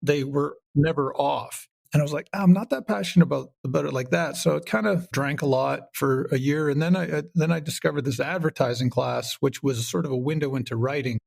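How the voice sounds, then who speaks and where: Higher-pitched, gravelly, self-deprecating man. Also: a little fast, few pauses. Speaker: podcast guest, writer and audio director. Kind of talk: casual, rambling interview chat.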